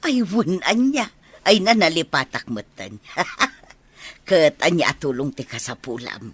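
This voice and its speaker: A woman playing a doting, easygoing older village mother in a radio drama, with a husky, expressive voice and an Ilocano accent. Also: deep voice, unhurried, exaggerated voice. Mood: warm, teasing.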